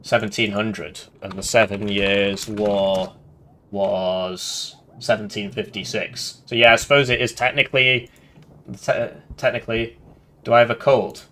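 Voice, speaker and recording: A man's voice: fairly low pitch, fairly even intonation, a little slow, sharp tone, slight background noise. A man with a nasal voice, commenting on his game live, reading in-game text aloud with casual asides. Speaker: streamer.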